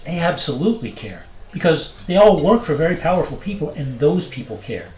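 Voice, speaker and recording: A woman's voice: low-pitched, big pitch swings, a little fast, dark tone, reverberant, faint music behind, poor audio. A woman playing an anxious, rule-following pilot in an audio drama, speaking with earnest reproach. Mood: tense disapproval. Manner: earnest, reproachful, hesitant under pressure. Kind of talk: naturalistic audio drama acting.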